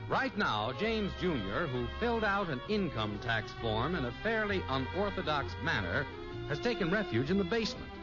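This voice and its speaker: A man's voice: fairly high pitch, big pitch swings, normal speed. A man announcing and narrating an old radio comedy with a wry, genial tone. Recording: dull old radio recording with music behind.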